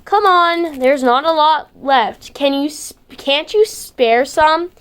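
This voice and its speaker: Audio fiction role: woman doing a soft-mannered boy, high-pitched, sweetly polite, quietly sly. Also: takes it slowly. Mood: sly, coaxing. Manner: gentle, apologetic, coaxing.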